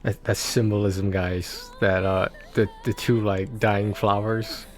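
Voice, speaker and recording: A man's voice: on the low side, level tone, takes it slowly. Casual man streaming, music behind. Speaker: game streamer. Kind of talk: casual livestream chat.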